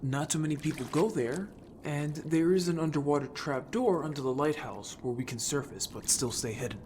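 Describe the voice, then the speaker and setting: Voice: medium pitch, moderate pace, a little mellow, slight background noise, natural voice. An actor playing an upbeat, bold young merfolk scout in an audio story, chatty, casual and playfully defensive. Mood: casual, explaining. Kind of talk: audio story character acting.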